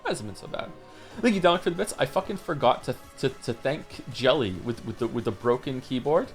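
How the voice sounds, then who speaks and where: A man on a livestream runs through viewer messages at a quick clip, his voice rising and falling a lot. He tosses in wry comments between them. Quiet music plays in the background. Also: mid-range voice. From Wales. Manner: animated, reads messages aloud quickly with wry asides. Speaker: streamer reading chat messages. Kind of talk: casual livestream chat.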